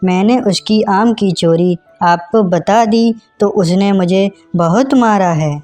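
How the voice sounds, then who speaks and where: महिला की आवाज़ में सीधा-सादा, धीमे बोलने वाला कार्टून तोता. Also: female voice, medium pitch, slow pace, a little mellow, faint music behind, natural voice. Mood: hurt, complaining. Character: meek, tattling male parrot, respectful little-brother type. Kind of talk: Hindi moral-story cartoon dialogue.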